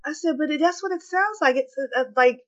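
A woman's voice: sits high, a little fast, lo-fi sound. A middle-aged woman co-hosting a podcast, telling anecdotes in a chatty, emphatic and opinionated way. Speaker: podcast co-host. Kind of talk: casual conversation.

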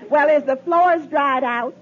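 A woman's voice: high-pitched, a little slow. A woman playing a bossy, practical wife with a scolding, emphatic manner in a 1950s radio comedy. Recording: muffled, noisy old radio recording.

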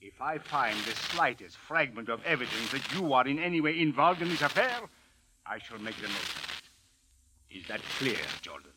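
An actor playing a formal, precise police captain, politely menacing and insistent, in a 1940s radio drama. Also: male voice, medium pitch, animated, normal speed, close to an everyday voice. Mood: stern, insistent. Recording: noisy vintage radio recording.

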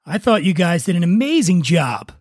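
A man's voice: high voice, very animated, normal speed, no room sound, clean studio sound. A man hosting a podcast in casual chat. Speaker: podcast host.

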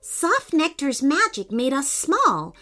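An eager little storybook girl, voiced by a woman. She sounds high, bright and decisive, as a quick-thinking young adventurer. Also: sing-song, faint music behind, heavily acted. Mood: excited. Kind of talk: children's storybook character voice.

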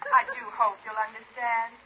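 Casting: gently firm, polite sweetheart phoning, slightly high husky voice, tinny telephone sound, vintage radio. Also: female voice, flat delivery, moderate pace. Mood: apologetic. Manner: courteous, gentle firmness. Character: polite, apologetic woman, a sweetheart calling. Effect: telephone filter. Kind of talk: 1950s radio sitcom acting. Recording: thin phone-filtered 1950s radio recording.

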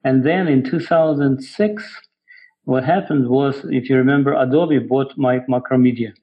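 A middle-aged man with an Eastern European accent and a gravelly, creaky voice answers as an interview guest. He explains things in a halting, reflective way. The sound is a little dull and lo-fi. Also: mid-range voice. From Ukraine. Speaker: guest, software developer.

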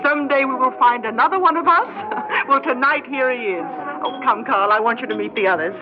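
An actress playing a flirtatious, gushing party hostess in a theatrical, breezy 1960s radio drama style. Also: female voice, high-pitched, a little fast, natural voice. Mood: gushing, amused. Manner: theatrical, breezy, punctuated with laughter. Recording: dull 1960s radio recording, loud music.

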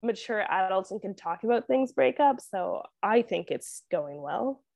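A woman's voice: mid-range voice, lively intonation, measured pace, creaky, a little mellow, no echo. A young woman from Canada with a Canadian accent, talking casually as an interview guest, saying "like" constantly and laughing often. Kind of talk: casual chat.

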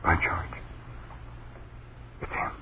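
An actor playing a horrified husband, speaking in hushed, tense, clipped phrases in a 1930s radio horror drama. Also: male voice, drawn-out words. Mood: awed dread. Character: horrified husband witnessing a ghostly murder. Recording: noisy, tinny 1930s radio recording.

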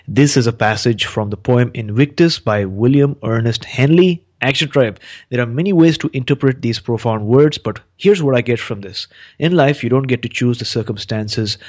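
Earnest motivational podcast host, a man. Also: medium pitch. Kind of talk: podcast hosting and motivational talk.

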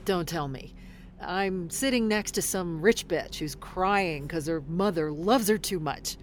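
An actress playing a blunt, skeptical, streetwise bus passenger in an audio drama, speaking colloquially. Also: female voice, lowish voice, measured pace, natural voice. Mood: blunt, bitter. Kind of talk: audio drama acting, conversational. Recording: faint background ambience noise.

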